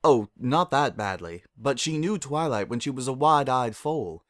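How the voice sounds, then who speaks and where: A man's voice: medium pitch, lively intonation, moderate pace, no room sound, studio quality, pitched above the performer's own voice, natural voice. A man voicing a stern, imperious female pony princess of the night with formal, archaic, commanding speech. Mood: measured, regal.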